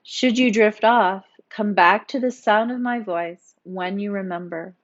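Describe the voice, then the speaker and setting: Female yoga teacher for guided relaxation: soothing, gently instructive, mid-pitched, on slightly muffled lo-fi audio. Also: unhurried. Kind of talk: guided meditation narration.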